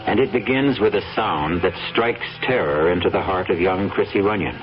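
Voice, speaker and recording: Male mystery radio narrator, very deep and portentous, dull recording with clear hiss. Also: moderate pace. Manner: suspenseful, portentous. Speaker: radio drama host and narrator. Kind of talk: dramatic scripted narration.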